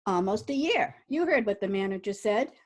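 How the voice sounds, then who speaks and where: A woman's voice: mid-range voice, animated, normal speed, a little mellow, natural voice. A woman playing a tough, combative young city dame with slangy talk in an old-time radio detective drama. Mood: defiant.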